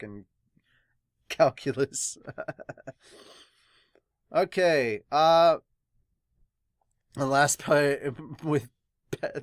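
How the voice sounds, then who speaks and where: A man gives a casual, rambling review in an excitable way, often breaking into laughter. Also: medium pitch, dry recording, clean studio sound. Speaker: host of a manga review channel. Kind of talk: casual reaction and review talk.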